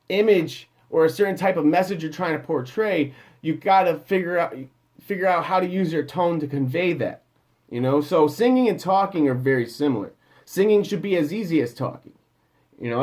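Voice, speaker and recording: A man with a slightly higher voice talks through singing advice in a loose, off-the-cuff way. He wanders and restarts his sentences, leaning on fillers as he goes. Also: a little mellow. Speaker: music producer giving vocal advice. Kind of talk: casual advice talk.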